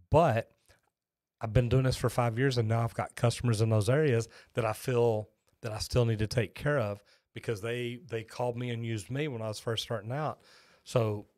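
A man's voice: medium pitch, darkish tone, dry recording. A man, hosting a plumbing live stream in a folksy, rambling way on a close studio microphone. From Texas, USA. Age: 50s. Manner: folksy, rambling, chatty with restarts. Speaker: host, master plumber. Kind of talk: live-stream chat with viewers. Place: small home studio with shelves. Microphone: boom-arm studio microphone close up.

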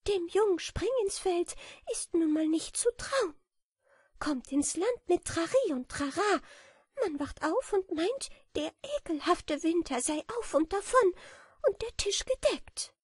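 A woman's voice: very high voice, expressive, a little slow, no echo, studio quality, voice pushed up. A woman reading aloud voices a small, hungry female bat just woken from hibernation, singing and complaining in a fine, thin soprano. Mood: plaintive. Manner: complaining, sighing. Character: tiny weary female bat, sleepy and hungry. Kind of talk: storybook character voice.

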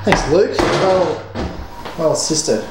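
A young man co-hosting a home cooking video, joking around, swearing freely and talking with lively energy. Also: on the high side, slow pace, loud music behind the voice. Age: young adult. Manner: jokey, animated, swears freely. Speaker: cooking show co-host. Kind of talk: casual cooking demo banter. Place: home kitchen, indoors. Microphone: camera mic at mid distance. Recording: echoey kitchen with background noise.

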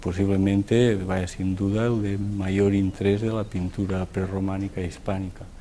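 A man's voice: sits low, flat delivery, normal speed, mellow. A man giving a spoken explanation as an interviewed expert. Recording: noisy interview clip under voice-over.

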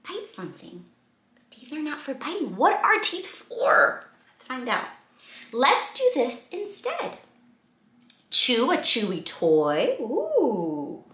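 Animated, high-pitched woman hosting children's storytime. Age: young adult. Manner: expressive, exaggerated, addressing children with questions and gasps. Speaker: children's read-aloud host. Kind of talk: interactive storytime read-aloud for young children. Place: small indoor room at a desk. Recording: roomy indoor echo.

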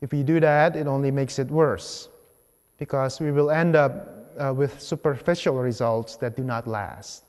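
A man's voice: mid-range voice, darkish tone. Man preaching in a very reverberant church. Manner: deliberate, short phrases with pauses. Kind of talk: homily, measured public preaching. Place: large church sanctuary, at the ambo.

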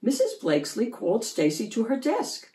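A woman reading a children's story aloud expressively, with deliberate emphasis on key words. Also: on the high side, huge pitch swings, warm tone. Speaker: children's book reader. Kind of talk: expressive picture book read-aloud. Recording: roomy echo, distant microphone.

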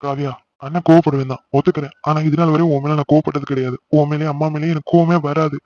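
A man's voice: on the high side, fairly even intonation, very fast, darkish tone, dull audio, close to an everyday voice. A man playing a protective, emotional young older brother in a family drama, earnest and insistent. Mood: stern, insistent. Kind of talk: melodramatic family audio drama.

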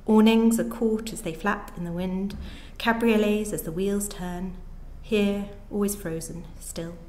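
A woman's voice: mid-range voice, fairly even intonation, a little slow, darkish tone. Woman reading a short story aloud, echoey room, faint noise behind. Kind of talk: scripted narration, live reading.

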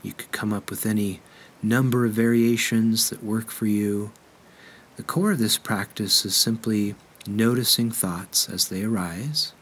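Slow, low-voiced male meditation guide. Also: breathy edge. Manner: gentle, unhurried, measured pauses.

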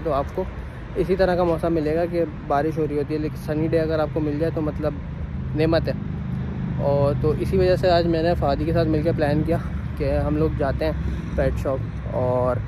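A man's voice: on the high side, noisy background. Chatty young man vlogging on the go. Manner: chatty, explains to viewers. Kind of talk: casual travel vlog narration. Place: outdoors on city streets and on a train. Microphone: phone held at arm's length. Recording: loud music under the voice.

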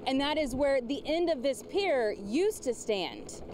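A woman's voice: sits high, lively intonation, unhurried, very noisy. A woman TV news reporter giving an emphatic, projected report from outdoors on location. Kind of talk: live broadcast news reporting. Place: outdoors on a beachfront pier.

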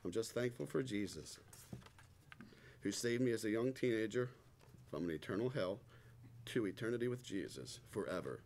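A man's voice: lowish voice, animated, normal speed, a little mellow. A middle-aged man nervously giving a prepared testimony of thanks at a church lectern. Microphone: stand microphone. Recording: noisy church hall recording.

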